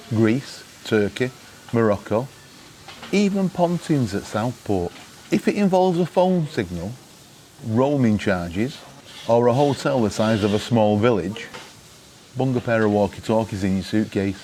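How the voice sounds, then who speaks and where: A man's voice: lowish voice, a little mellow, rough recording. Chatty British man with wry humour, some background noise. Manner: jokey, wry storytelling with mock exasperation. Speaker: vlog host giving travel gadget tips. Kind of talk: casual chat to camera.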